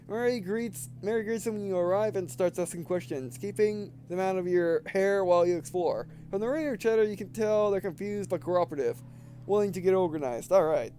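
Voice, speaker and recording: High-voiced man, halting game commentary. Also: warm tone, a little hiss or hum. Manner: halting, stumbles over words and rereads. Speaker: gameplay video host. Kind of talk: reading game text aloud with casual commentary.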